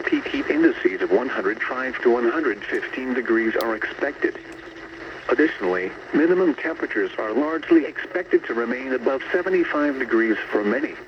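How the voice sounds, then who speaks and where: A muffled, static-laden radio broadcast carries a synthetic man's voice. He reads scripted weather bulletins as an automated announcer, formal and measured, with clear noise over the dull signal. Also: medium pitch, lively intonation. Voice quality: synthetic text-to-speech voice. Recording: noisy, muffled long-distance radio reception.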